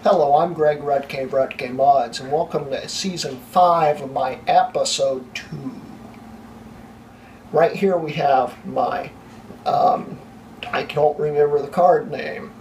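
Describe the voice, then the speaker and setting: Flustered young man, slightly hoarse. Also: fairly high pitch, takes it slowly, darkish tone. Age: young adult. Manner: flustered, laughing at his own slip-ups. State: losing his voice, coughing. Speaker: tech channel host. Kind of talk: blooper reel of a tech vlog. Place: small home room full of computers. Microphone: camera mic from a few feet away. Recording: distant camera mic, faint room hiss.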